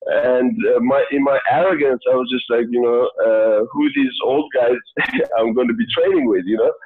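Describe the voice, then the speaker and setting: A man in his thirties who grew up in Sweden, talking casually as a guest, halting with many fillers and restarts. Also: medium pitch, fairly even intonation, slightly rough. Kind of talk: casual interview talk. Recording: tinny phone-like line.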